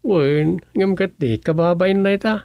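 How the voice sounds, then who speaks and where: A woman's voice: lowish voice, a little slow, gravelly, dark tone, dry recording. A woman with an Ilocano Filipino accent playing a blunt villager in a radio comedy-drama. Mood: dismissive.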